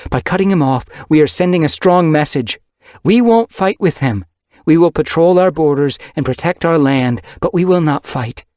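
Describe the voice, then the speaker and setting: A man voicing a hot-headed she-cat clan leader who speaks forcefully and commandingly. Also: a little high, expressive, normal speed, no echo, clean studio sound, voice pushed up, lightly acted.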